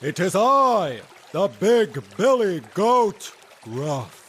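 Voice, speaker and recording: A booming big billy goat. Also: male voice, very animated, extremely slow, a bit bright, big-room sound, a little hiss or hum, full character voice. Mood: proud. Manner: booming, proud.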